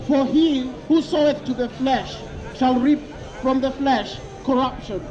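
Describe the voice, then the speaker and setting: High-voiced street preacher in a crowd. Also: male voice. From Kenya. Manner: repeats questions to passers-by, quotes scripture. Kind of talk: open-air street preaching. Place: outdoors in a busy city square. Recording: lo-fi outdoor recording with crowd noise.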